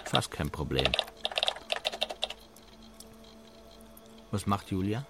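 Sceptical male colleague for radio drama: dry, blunt, teasing, low-set voice with animated melody. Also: normal speed, soft background music, full character voice.

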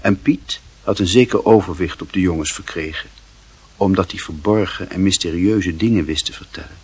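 A man with a husky voice reading scripted literary narration for a radio play. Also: low-pitched, moderate pace, darkish tone.

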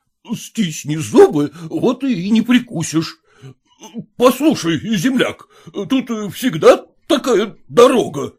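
A man voicing an indignant, blustering gentleman husband in a storybook, curt, imperious and exasperated. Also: sits high, sing-song, slow, breathy edge, voice pushed up, heavily acted. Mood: brisk.

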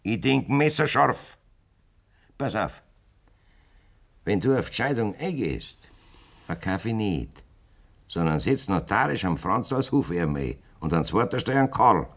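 A man's voice: fairly low pitch, measured pace, creaky, pauses often, husky, full character voice. An actor with a Bavarian accent playing a scheming, blunt aging farmer who talks down to his wife in a radio play. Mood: cold-blooded. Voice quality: blunt, self-assured and lively in tone.

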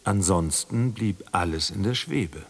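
A man's voice: low voice, lively intonation, a little slow, vocal fry, breathy edge, full character voice. A man playing a grave, terse second narrator in a scripted literary radio drama. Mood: grave, detached.

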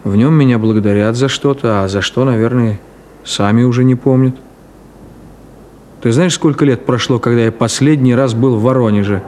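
Over a faintly hissy old radio recording comes a man acting a middle-aged cynic with a mid-pitched voice. He speaks in a clipped, ironic way, dismissive and emotionally detached, in the manner of 1970s Soviet radio drama. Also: normal speed, dark tone, close to an everyday voice. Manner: ironic, clipped, theatrical delivery.

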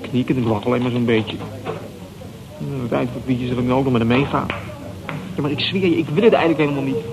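An actor in a Dutch radio play as a defensive, insecure young man who talks haltingly in coarse, slangy language. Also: mid-range voice, creaky, background noise, natural voice. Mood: defensive, uneasy. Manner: halting, repeating words, coarse slangy swearing. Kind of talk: naturalistic Dutch radio drama acting. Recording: radio play with crowd chatter behind.

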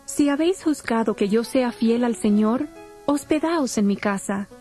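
A woman's voice: a little high, animated, measured pace, mellow, faint music behind. A woman playing a devout, hospitable cloth merchant in a dramatized Bible. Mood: earnest inviting.

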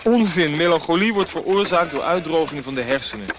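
A curious travelling physician with a high voice, played by a man in a radio play. He exclaims, hesitates and answers bluntly. Clear noise runs behind the voice. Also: animated, a little fast, lightly acted. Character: injured travelling physician, curious and outspoken male. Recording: noisy old radio drama, outdoor effects.

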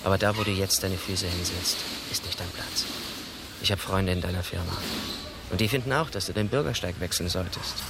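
An actor playing a menacing, cocky gangster in a radio drama, blunt and full of contempt. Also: male voice, deep voice, a little fast. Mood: sneering menace. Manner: sneering, blunt. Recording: clearly noisy background ambience.

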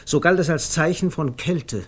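An actor narrating a comic 1950s German radio play in a measured, precise, drily amused literary manner. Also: male voice, fairly high pitch, a bit bright. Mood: wry. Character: wry literary male narrator of a comic tale.

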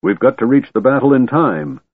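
A man voicing a booming, befuddled old dragon. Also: expressive, normal speed, slightly rough, phone-quality sound, heavily acted. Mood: fierce. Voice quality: deep, booming. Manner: trumpeting, roaring, grandiose. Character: decrepit old golden dragon, fierce, befuddled, eager for battle. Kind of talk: audiobook fantasy character voice.

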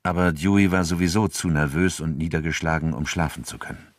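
An actor narrating a true-crime radio drama in a sober, scripted storytelling manner. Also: male voice, a bass voice, fairly quick, slight background noise.

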